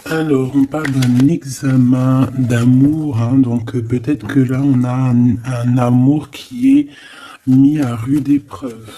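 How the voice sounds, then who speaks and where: A man's voice: medium pitch, slow, warm tone. A man giving a casual, improvised tarot reading, speaking familiarly to the listener with hesitant, repetitive phrasing and frequent tag words. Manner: hesitant, repeats phrases, frequent "hein" tags, familiar address. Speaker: tarot card reader, host.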